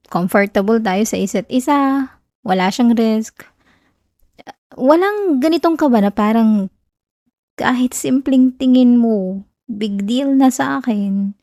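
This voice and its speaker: A woman acting as a bashful young Filipino girlfriend in an ASMR roleplay. Her voice is mellow and a little high, close and dry on the mic. She talks slowly and softly, with a playful, whiny tease. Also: dry recording. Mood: tender, nervous. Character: shy, flustered young woman teasing her new boyfriend. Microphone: close, dry ASMR microphone.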